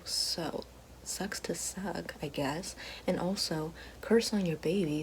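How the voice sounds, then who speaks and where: A woman playing a bitter, sassy fallen evil fairy working a help line, in a breathy whispered ASMR roleplay with slangy sarcasm. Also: a little hiss or hum, close to an everyday voice, low-quality recording. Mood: indignant, sarcastic. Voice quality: breathy whisper mixed with soft low speech.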